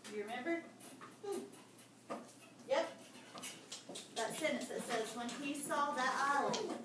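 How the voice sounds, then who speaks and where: A woman's voice: sits high, a little slow. A woman teacher reading aloud to children in a lesson, breaking off to explain and ask questions. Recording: very echoey noisy room, far from mic.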